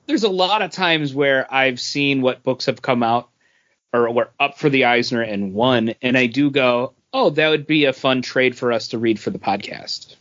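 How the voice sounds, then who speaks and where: A man's voice: medium pitch, normal speed, fairly bright tone. A man co-hosting a podcast in a casual, conversational style. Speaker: podcast co-host. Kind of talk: casual chat. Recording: dull, lo-fi podcast audio.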